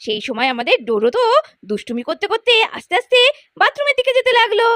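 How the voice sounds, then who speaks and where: A woman's voice: very high voice, sing-song, fast, fairly bright tone, dry recording. A woman storyteller narrating a scripted village tale for children. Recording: music bed under the voice.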